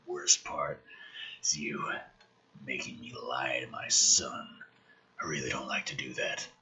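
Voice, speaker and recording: A man voicing a battered, defiant soldier from a film script in a husky, breathy near-whisper, slow and menacing. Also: rumbling low, level tone, sharp tone, pitched below the performer's own voice, heavily acted. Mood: quiet menace. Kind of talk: dramatic reading of a film script.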